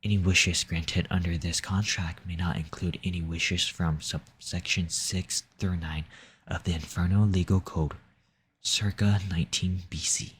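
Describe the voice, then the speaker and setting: A man playing a possessive, seductive demon in an intimate audio roleplay, speaking formally in a breathy, coaxing, menacing way. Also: deep voice, steady tone, unhurried, full character voice. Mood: commanding, smooth. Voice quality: husky, breathy, often dropping into whisper. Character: possessive seductive male demon, silver-tongued and domineering.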